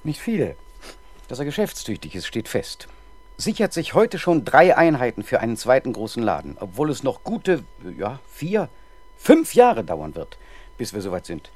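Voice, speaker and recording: A man's voice: mid-range voice, wildly swooping pitch, moderate pace, a little mellow. An actor playing an indignant, pompous male town councillor in a 1970s German radio crime drama. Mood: guarded, brusque. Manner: blustering, pompous.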